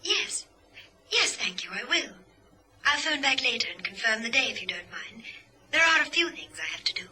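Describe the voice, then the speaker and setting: A woman's voice: high-pitched, very animated, normal speed, sharp tone, slightly rough, heavily acted. A young woman playing a polite, shy heiress, hesitant and courteous, in a radio drama telephone scene. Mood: polite, tentative. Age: young adult.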